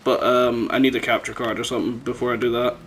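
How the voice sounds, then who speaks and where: A man's voice: medium pitch, flat delivery, fast, fairly bright tone. A man hosts a casual podcast chat in a middling register. He tells jokey anecdotes full of swearing. Faint noise sits behind his headset mic. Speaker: podcast host. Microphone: headset microphone.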